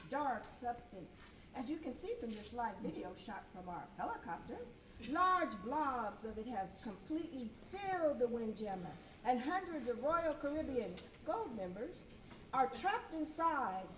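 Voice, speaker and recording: A woman's voice: on the high side, far from the mic. A woman playing an earnest TV newscaster in an amateur live radio skit. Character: earnest female TV newscaster breaking alarming news. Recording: echoey room with background noise.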